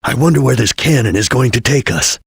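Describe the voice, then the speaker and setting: Cool-headed chameleon ninja for a game: composed, clipped, heavily rasped voice, close dry recording. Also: male voice, medium pitch, lively intonation, a little fast, dry recording, exaggerated voice. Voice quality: raspy, gravelly. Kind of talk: video game character acting.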